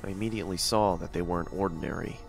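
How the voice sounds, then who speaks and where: A man with a low voice narrates a creepy first-person story in a steady, measured read. The delivery is scripted and even. Music plays clearly underneath the narration.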